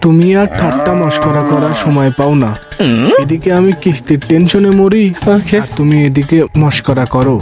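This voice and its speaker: A man's voice: fairly high pitch, normal speed, loud music behind the voice, lightly acted. A man playing an exasperated, theatrical, complaining character in a comic cartoon. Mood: grumbling, exasperated. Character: harried, broke, grumbling husband and pushy loan instalment collector. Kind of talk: comic Bengali cartoon dialogue.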